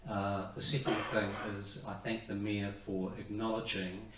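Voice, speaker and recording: A man making formal remarks at a public meeting in a measured, halting way. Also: fairly low pitch, little pitch movement. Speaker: speaker at community meeting. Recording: echoey meeting hall, distant mic, faint noise.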